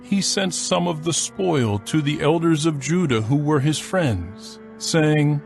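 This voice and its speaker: A man narrating scripture from a script in a solemn, measured storytelling manner. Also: on the high side, lively intonation, gravelly, darkish tone, soft background music.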